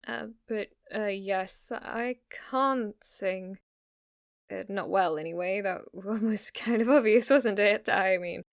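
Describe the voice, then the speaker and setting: Slow, teasing lovestruck witch girlfriend, acted by a woman. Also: mid-range voice, dry recording, studio quality. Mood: flustered, then boastful, playful.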